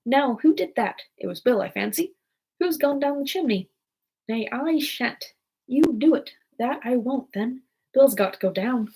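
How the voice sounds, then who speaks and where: A woman's voice: a little high, lively intonation, unhurried, gravelly, dark tone, slightly rough, heavily acted, poor audio. A woman voicing bustling, bickering small storybook workers, calling out bossy orders in a quarrelsome way.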